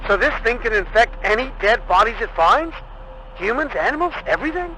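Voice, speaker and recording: A man acting as an excitable, chatty ally in a post-apocalyptic video game, talking in an informal, emphatic way. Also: extremely high pitch, brisk, heavily acted. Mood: alarmed. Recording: clearly noisy background.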